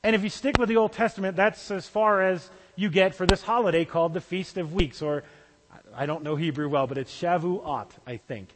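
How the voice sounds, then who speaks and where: High-voiced male pastor in a reverberant hall. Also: normal speed. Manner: conversational, with small restarts. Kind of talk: sermon.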